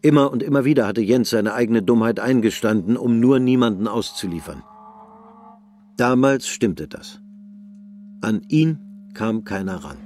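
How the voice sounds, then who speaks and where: A man narrating a radio drama in a measured, sober way. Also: medium pitch, a little mellow, a little hiss or hum.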